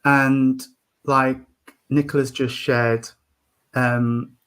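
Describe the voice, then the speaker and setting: Slow, hesitant man chatting. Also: medium pitch, level tone, fairly bright tone, smooth. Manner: slow, hesitant, with many fillers. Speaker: trustee on a panel. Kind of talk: casual group chat.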